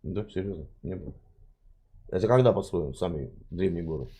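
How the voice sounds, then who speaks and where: A man's voice: sits low, lively intonation, brisk, warm tone, husky. A man hosting a confrontational video chat, mocking and interrogating his guest and cutting in often. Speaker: stream host.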